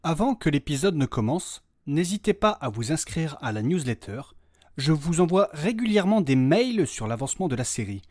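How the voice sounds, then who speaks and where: A man's voice: a little high, darkish tone. A man giving scripted narration and announcements as the narrator of an audio fiction series. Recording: music bed under the voice.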